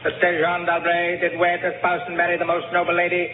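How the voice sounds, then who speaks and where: A man plays a formal court clerk in a radio drama, droning in a high, utterly flat declamation. The vintage recording is echoey and narrow. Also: quick pace, slight background noise, lightly acted. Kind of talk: old-time radio drama acting.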